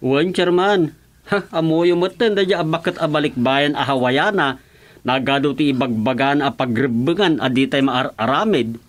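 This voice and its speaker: An actor playing a dutiful village official in a radio comedy drama, explaining deferentially with an Ilocano accent. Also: male voice, a little high, normal speed, lightly acted. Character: village official dutifully reporting to his chairman.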